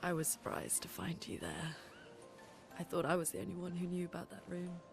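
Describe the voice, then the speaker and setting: A woman voicing a determined, thoughtful young expedition leader in a video game cutscene, focused and gentle in her questions. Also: on the low side, very animated, brisk, vocal fry. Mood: soft, reflective. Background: music.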